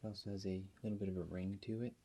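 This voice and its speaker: Low, husky, creaky-voiced man doing ASMR. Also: level tone. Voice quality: soft-spoken, husky. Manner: gentle, unhurried explaining with pauses. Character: calm, reassuring doctor guiding a therapy session. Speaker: ASMR performer playing a doctor. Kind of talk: ASMR roleplay.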